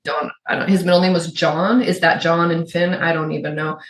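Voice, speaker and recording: Co-host, middle-aged woman, faint Yooper accent, lowish voice, chatty and rambling, roomy echo. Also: steady tone, a little fast. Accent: Yooper, suppressed. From Upper Peninsula of Michigan. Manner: chatty, rambling with restarts and asides. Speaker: podcast co-host. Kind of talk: casual chat.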